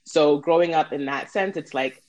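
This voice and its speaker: A woman's voice: low-pitched, normal speed, a little mellow, lo-fi sound. A woman from Trinidad speaking casually as a panel guest, using lots of "like" and "you know.".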